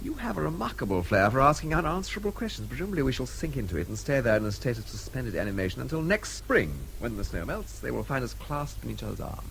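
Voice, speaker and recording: A man's voice: medium pitch, very animated, fast talker, vocal fry, hardly any pauses, a bit bright, slight background noise, rough recording. An actor playing a dry-witted, resourceful Englishman on the run in a radio drama, with a clipped, understated English delivery. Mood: wry. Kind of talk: BBC radio drama acting.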